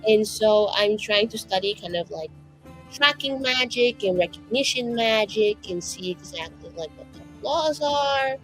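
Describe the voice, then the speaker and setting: A woman's voice: mid-range voice, lively intonation, unhurried, soft background music, voice pushed down, natural voice, rough recording. A woman voicing a nervous, scheming young mage in a fantasy tabletop game, rambling, slangy and anxiously earnest. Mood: evasive, rambling.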